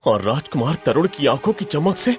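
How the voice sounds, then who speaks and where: Man acting a high-pitched, flustered palace servant. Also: big pitch swings, moderate pace, soft background music. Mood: panicked. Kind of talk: animated moral tale voice acting.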